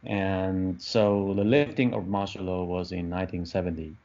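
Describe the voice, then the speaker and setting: Man, fifties, from Taiwan, second-language English, low voice, halting with many restarts, muffled lo-fi audio. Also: steady tone, a little mellow. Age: 50s. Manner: hesitant, many restarts and fillers, searching for words. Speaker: film director. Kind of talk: interview answers. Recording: dull lo-fi podcast audio.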